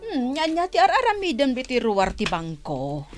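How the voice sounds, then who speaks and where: A woman playing a friendly older retired teacher in an Ilocano radio drama, chatting like an auntie in an unhurried way. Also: high-pitched, wildly swooping pitch, soft background music. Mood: friendly, nosy.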